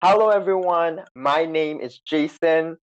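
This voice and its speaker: A man hosting a podcast over a remote call, excited and chatty, with many fillers and restarts. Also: a little high, measured pace, loud music behind the voice. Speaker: podcast host. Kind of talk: casual chat. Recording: slightly dull lo-fi sound.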